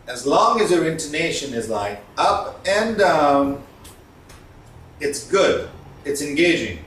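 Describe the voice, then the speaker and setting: A man's voice: a little high, big pitch swings, slow, fairly bright tone. Man training, echoey distant recording. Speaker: communication skills trainer. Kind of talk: instructional talk on voice modulation. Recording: echoey room, distant mic, faint noise.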